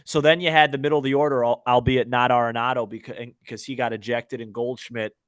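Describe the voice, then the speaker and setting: A man's voice: mid-range voice, fairly even intonation, fast talker, clear, resonant tone, no echo. A man co-hosting a sports podcast, giving casual, opinionated and emphatic commentary, often stumbling over repeated words.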